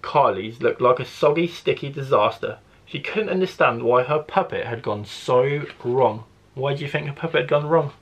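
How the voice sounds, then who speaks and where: A man who works as a primary school teacher, reading a story expressively to children with deliberate phrasing. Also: mid-range voice, fairly bright tone, slightly rough, highs cut off.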